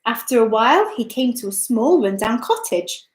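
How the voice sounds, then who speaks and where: A woman reading a children's story as a storyteller, in an expressive, deliberate manner. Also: fairly high pitch. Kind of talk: scripted children's story narration. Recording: echoey, roomy sound.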